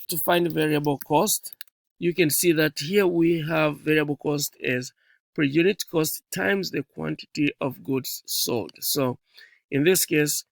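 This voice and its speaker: A male instructor with a somewhat high-pitched voice talks through a spreadsheet tutorial. He explains each step in sequence. The sound is dry, with little room echo. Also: gravelly.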